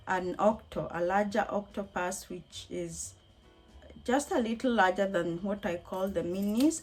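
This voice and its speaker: A woman with a slightly hoarse voice, chatting casually and upbeat in a rambling vlog recap as a craft hobbyist. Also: medium pitch, a little mellow. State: slightly hoarse from being unwell. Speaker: crochet hobbyist and craft vendor vlogger.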